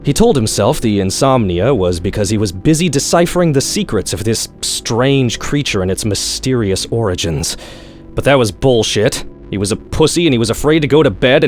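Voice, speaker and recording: Male narrator, mid-pitched voice, wry and sardonic, scripted horror-comedy audiobook reading, soft background music. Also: animated, normal speed. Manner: wry, sardonic, profane storytelling. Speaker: author-narrator reading own short story.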